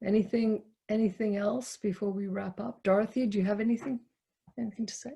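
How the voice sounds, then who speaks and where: Woman, soft dark tone, husky, reflective discussion leader, hesitant, repeats phrases for emphasis. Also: medium pitch, fairly even intonation, brisk. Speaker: speaker leading a poetry discussion.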